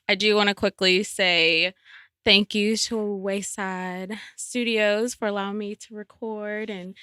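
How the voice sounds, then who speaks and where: A woman's voice: a little high, bright, forceful tone, no echo. A woman hosting a podcast in a studio, chatting casually, teasing her friends and laughing often. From United States. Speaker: podcast host. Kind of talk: casual chat. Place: recording studio.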